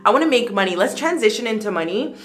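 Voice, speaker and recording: A young woman in her late twenties talks through her skincare routine in a loose, rambling vlog chat. Her pitch sits in the middle of the range. She fills her sentences with "like" and jumps up in pitch when something excites her. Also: fast. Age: 20s. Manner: chatty, rambling, many "like" fillers. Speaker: beauty vlogger. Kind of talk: casual chat while doing skincare.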